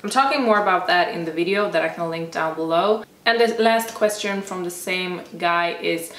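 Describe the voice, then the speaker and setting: A woman's voice: lowish voice, moderate pace, fairly bright tone. Swedish-accented young woman, echoey distant mic. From Sweden. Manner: chatty, frequent "like" fillers, self-restarts. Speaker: fitness vlogger. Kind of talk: casual Q&A talk.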